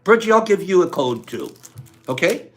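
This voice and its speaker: High, lively, playful livestream host man. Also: moderate pace. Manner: playful, teasing, talks straight to chat. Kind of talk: casual livestream chat with viewers.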